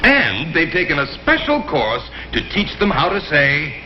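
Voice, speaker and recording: A man's voice: on the high side, huge pitch swings, unhurried. An actor playing an eager, officious travel agent with an insistent salesman's manner in a 1960s television comedy sketch. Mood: smoothly promotional. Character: eager, officious airline travel agent man, relentlessly promotional. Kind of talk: 1960s TV variety show comedy sketch acting. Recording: muffled, very noisy 1960s television audio.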